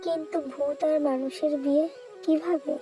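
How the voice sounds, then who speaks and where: A woman's voice: high voice, steady tone, moderate pace, music in the background, natural voice. A woman voicing a tender, anxious young ghost girl in love, pleading emotionally in a cartoon. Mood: earnest, hurt. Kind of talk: cartoon dialogue.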